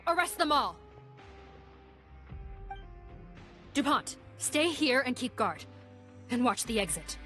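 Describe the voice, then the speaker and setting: A decisive police captain in a video game, her voice sitting a little high. She talks in brisk, clipped commands. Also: very animated, pushed and bright, soft background music, full character voice. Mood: commanding. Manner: brisk, gives orders. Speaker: officer.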